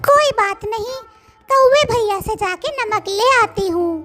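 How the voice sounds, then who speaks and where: A woman's voice: very high-pitched, a little slow, fairly bright tone, soft background music. A woman voicing a kind, motherly myna bird in a children's cartoon, with a humble, caring, sisterly way of talking. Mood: fretful, caring. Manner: polite, pleading, sisterly way of talking.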